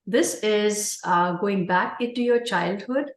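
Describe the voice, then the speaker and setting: A woman's voice: mid-range voice, slow pace. Woman podcast host in a roomy space. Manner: gentle, hesitant with fillers. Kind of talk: podcast interview hosting. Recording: roomy echo.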